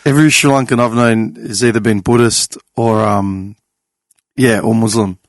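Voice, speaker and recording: A man's voice: on the low side, fairly even intonation, normal speed, no room sound. A man in his late thirties hosting a podcast in casual conversation, blunt and teasing, swearing freely. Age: 30s. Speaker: podcast host. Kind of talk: casual chat. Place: podcast studio.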